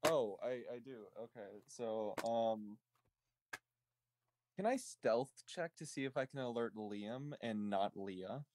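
A man's voice: mid-range voice, lively intonation, takes it slowly, darkish tone, no echo. A man playing a tabletop game, hesitantly thinking aloud in casual talk. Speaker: tabletop roleplay player.

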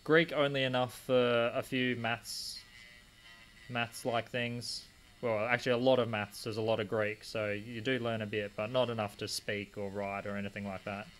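A young man talks in an easy, rambling way on a live stream, with a voice sitting at an ordinary middle pitch. He chats to viewers from a cramped home desk corner, picking up their comments one after another while he keeps working. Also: little pitch movement, moderate pace, a bit bright, a little hiss or hum. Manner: rambling, answers chat comments aloud. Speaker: stream host polishing gemstones. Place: small home desk corner with a rotary tool running.

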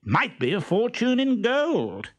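A man's voice: high voice, huge pitch swings, takes it slowly, pitched above the performer's own voice, full character voice. A man reading a storybook aloud, voicing a kind-hearted, cheeky young male servant with a polite, sly manner.

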